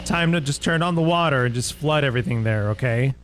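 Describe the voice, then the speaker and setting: A man hosting a reaction video, giving casual commentary with muttered asides, quick exclamations and chuckles. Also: mid-range voice, fairly bright tone, slight background noise.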